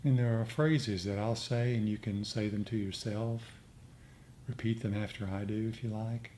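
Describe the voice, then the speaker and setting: A man gently instructing a meditation group in an informal, conversational way. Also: fairly low pitch, fairly even intonation, normal speed. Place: indoor meditation group meeting. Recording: clearly noisy room recording.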